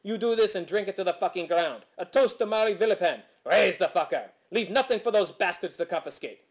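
A man's voice: high voice, animated, brisk, pitched above the performer's own voice. Man doing a brash, ranting fake-Quebecois landlord. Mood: rousing, urgent. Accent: exaggerated fake Quebecois French. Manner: ranting in broken English, referring to himself in third person. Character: brash, paranoid, foul-mouthed French-Canadian landlord man.

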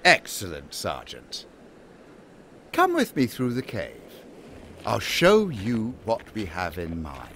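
Guy acting suave creepy cult lord, audio drama, very swoopy lowish voice, noisy ambience behind. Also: wildly swooping pitch, pushed and bright, full character voice. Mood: smooth, inviting. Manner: grandiose, polite, drawn-out taunting delivery. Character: urbane menacing island lord, calmly mocking cult leader.

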